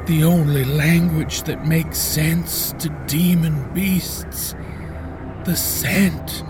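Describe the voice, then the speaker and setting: Man puts on scared old frontier fighter, slow and tense, climbing pitch, music behind. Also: steady tone. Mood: dread-filled. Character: frightened old frontier fighter, hunted and desperate.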